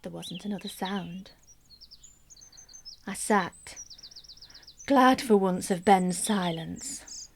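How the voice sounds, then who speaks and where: A woman acting a wistful married mother in a gentle radio monologue, reflective and intimate, sometimes sinking towards a whisper. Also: mid-range voice, a little hiss or hum, close to an everyday voice. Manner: reflective, measured, intimate, dropping to near-whisper. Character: wistful married mother narrating her private longings. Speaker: actor in a solo radio play.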